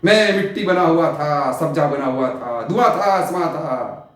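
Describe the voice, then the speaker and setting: An actor playing a world-weary, eccentric sultan in a stage monologue, grand and theatrical, with long dramatic pauses. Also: male voice, fairly high pitch, animated. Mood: nostalgic, rapt. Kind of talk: Hindi stage play monologue, poetic Urdu diction. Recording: very echoey, reverberant room.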